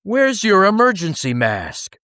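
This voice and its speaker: Man playing a bright, forceful, scolding captain. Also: high-pitched, wildly swooping pitch, a little slow, no echo, clean studio sound, pitched above the performer's own voice, full character voice. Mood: stern scolding. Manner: gruff, reprimanding, emphatic questions. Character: grumpy, scolding male space station captain. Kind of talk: dramatised children's audiobook reading.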